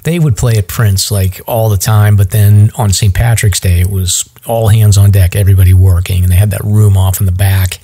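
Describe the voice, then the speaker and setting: A man's voice: fairly low pitch, fairly even intonation, moderate pace, few pauses, warm tone, breathy edge. A middle-aged man, hosting a podcast in casual, rambling chat. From Massachusetts. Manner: chatty, rambling with fillers. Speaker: podcast host.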